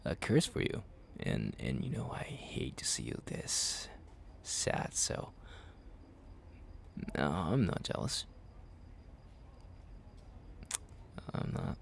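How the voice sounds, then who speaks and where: A man playing a jealous, tender young best friend confessing love in an intimate ASMR roleplay, halting and murmuring with breathy sighs. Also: a bass voice, huge pitch swings, slight background noise, full character voice. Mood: defensive, flustered.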